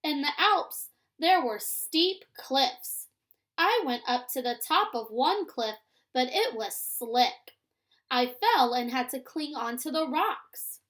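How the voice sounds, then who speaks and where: A woman reading the part of an adventurous grandmother telling travel tales in a storybook, with drawn-out emphasis. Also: high voice, lively intonation, a bit bright, exaggerated voice. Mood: dramatic.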